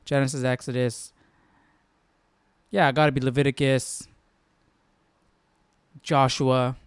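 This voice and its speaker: A man's voice: medium pitch, little pitch movement, takes it slowly, clean tone, no room sound. A man talking informally as a Bible study host, thinking aloud and often checking in with the listener. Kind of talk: casual chat, informal Bible commentary.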